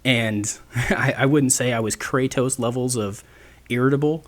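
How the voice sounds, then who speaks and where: A man's voice: medium pitch, unhurried. A man of twenty-nine hosting a solo podcast, talking in a rambling, self-deprecating way with many restarts. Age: 20s. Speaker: podcast host. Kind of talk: casual solo podcast monologue.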